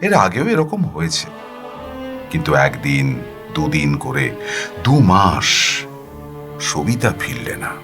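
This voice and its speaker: A man narrating a first-person story dramatically, with an expressive, weary, plaintive delivery. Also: rumbling low, takes it slowly, pauses often, music in the background. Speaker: audiobook narrator.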